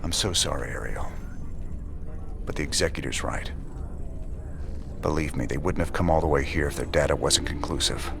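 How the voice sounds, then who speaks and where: A man playing a weary, principled space rebel commander in a video game, with a folksy American drawl and gruff delivery. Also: rumbling low, normal speed, long pauses. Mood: grave, resolute. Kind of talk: video game cutscene acting.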